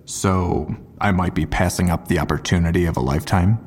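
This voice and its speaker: In a reverberant space, a low male voice plays a surly homicide detective for an audio drama. He is guarded and evasive, giving terse, deadpan answers. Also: brisk. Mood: deadpan defiance. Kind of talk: full-cast audio drama interrogation.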